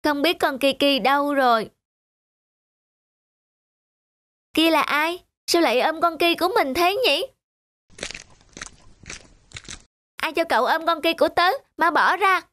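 A woman's voice: high voice, brisk, sharp tone. A woman voicing a plucky, possessive young boy in a cartoon, with a direct, outspoken, childlike delivery. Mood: indignant. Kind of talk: Vietnamese cartoon dubbing.

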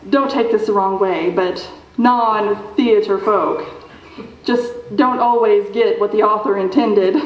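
A woman playing a breezy, condescending small-town theater director in a radio show performed on stage. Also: a little high. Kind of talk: old-time radio show acting on stage. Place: theater stage before an audience. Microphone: stand microphone, camera at a distance. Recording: dull, echoey live stage recording.

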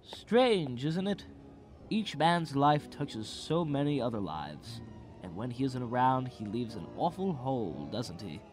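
An actor in a radio drama playing a kindly, anxious, bumbling guardian angel with an earnest, moralizing manner. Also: male voice, mid-range voice, sing-song, measured pace, darkish tone, faint music behind. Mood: earnest, grave.

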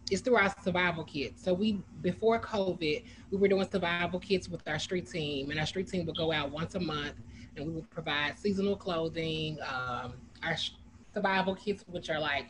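A community organizer speaks over a video call, telling her story earnestly and filling pauses with "um." Her voice sits at a middle pitch. Steady background noise runs under the call audio. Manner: earnest, storytelling, many fillers. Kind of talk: casual panel answer.

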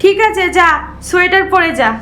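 A woman's voice: extremely high pitch, little pitch movement, fairly quick, noisy background. A woman voicing a stern, scolding village mother in a cartoon story. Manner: scolding, questioning.

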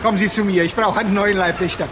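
An actor playing a powerful, smug arms dealer in a crime radio drama, condescending and self-assured. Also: male voice, sits high, fairly even intonation, fast, natural voice. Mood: smug, mocking. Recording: clear background outdoor and vehicle noise.

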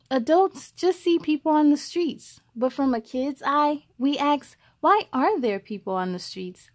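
Casting: young adult woman, high voice, children's storyteller, expressive and encouraging read-aloud delivery.

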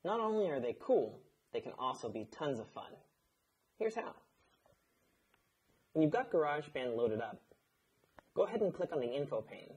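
A man, narrating a scripted tutorial in a measured, instructional way. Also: fairly high pitch, sing-song, a little mellow, highs cut off, a little hiss or hum. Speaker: audio engineer, instructor. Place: indoor studio, seated at a desk.

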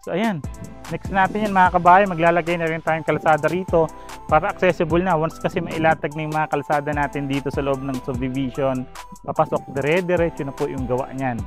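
A man's voice: on the high side, steady tone, brisk, faint music behind. A man in his thirties from the Philippines, an agent hosting a walking site tour outdoors on a lapel mic, talking in an upbeat sales patter full of fillers. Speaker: real estate agent and channel host. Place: outdoor construction site, sunny and open. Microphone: clip-on lapel mic.

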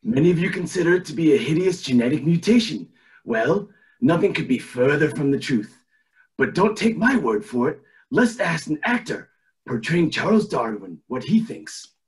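Man acting out a pompous, animated old tycoon. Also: on the high side, normal speed, poor audio. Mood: smarmy, oily. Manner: oily, pompous, theatrical. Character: scheming, smug elderly tycoon running for governor. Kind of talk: amateur table read of a cartoon script. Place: indoors at home on a video call.

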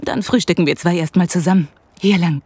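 A woman's voice: lowish voice, brisk, a little mellow, breathy edge, no room sound. An actress playing a friendly, clever senior policewoman in an audio drama, speaking informally and welcomingly. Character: friendly, clever policewoman heading a violent crime unit. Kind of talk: German audio drama dialogue.

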